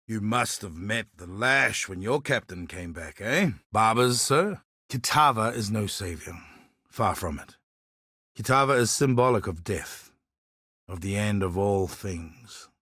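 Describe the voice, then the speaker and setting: A man's voice: lowish voice, fairly bright tone, no room sound, studio quality. An actor voicing a proud, earnest and wry islander man who seeks justice for his enslaved people, in scripted video game dialogue. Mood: casual, chirpy, then solemn.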